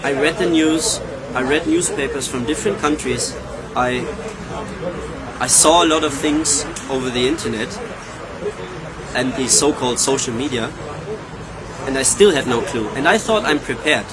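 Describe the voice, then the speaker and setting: A man's voice: mid-range voice, moderate pace, long pauses, rough recording. A man, speaking thoughtfully and searching for words as he gives personal testimony in an interview. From Germany. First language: German. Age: 30s. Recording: loud crowd noise outdoors.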